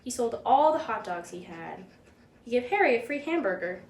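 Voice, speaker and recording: Animated woman reading a children's storybook. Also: a little high, moderate pace. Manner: animated, dramatic read-aloud delivery. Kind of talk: expressive storybook narration for children. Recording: roomy echo, background noise.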